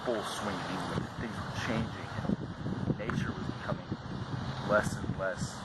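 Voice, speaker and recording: A teenage young man leading a casual student discussion, speaking informally and hesitantly with lots of pauses. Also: fairly low pitch, steady tone. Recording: very noisy outdoor audio with traffic.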